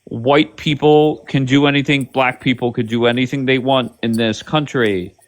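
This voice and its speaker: A man's voice: mid-range voice, fairly even intonation, moderate pace. A man hosts a heated live-stream debate, talking bluntly and swearing freely. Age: 50s. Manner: blunt, profane, pushy.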